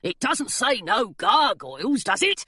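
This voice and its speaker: A cheeky little male gargoyle in a storybook, as a woman reading aloud puts him on. He sounds high and pushy, drawing his words out slowly. The recording is dry. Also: very animated, bright, forceful tone, breathy edge, dry recording, heavily acted.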